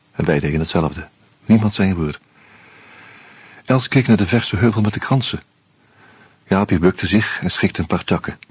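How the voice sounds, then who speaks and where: A husky, wry screenwriter-narrator. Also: male voice, sits low, a little mellow, no room sound. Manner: measured, reflective narration with hesitant fillers. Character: wry, uneasy male screenwriter caught up in murders. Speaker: first-person narrator of a radio play.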